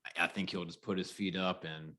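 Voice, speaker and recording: A man with a very deep voice on a casual podcast. He talks earnestly, pausing and restarting with fillers as he thinks. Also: fairly even intonation, pushed and bright. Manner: earnest, halting, with many fillers. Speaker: podcast co-host. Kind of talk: casual chat.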